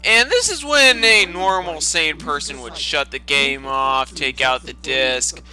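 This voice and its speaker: A man's voice: on the high side, measured pace, pushed and bright. A man doing a dramatic storytime reading, breaking into sarcastic, profane and exclamatory mocking asides. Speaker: storytime narrator. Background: video game music.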